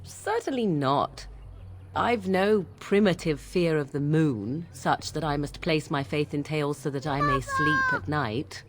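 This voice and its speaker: A woman's voice: sits low, wildly swooping pitch, a little slow, full character voice. An actress playing a cynical young witch of the wilds, formal, disdainful and drily sarcastic, in a fantasy game. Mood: scornful. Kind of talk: dramatic game dialogue.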